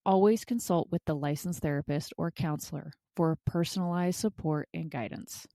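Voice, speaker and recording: Low-voiced woman hosting a podcast. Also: moderate pace, warm tone, dry recording. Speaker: podcast host. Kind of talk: scripted intro and interview hosting.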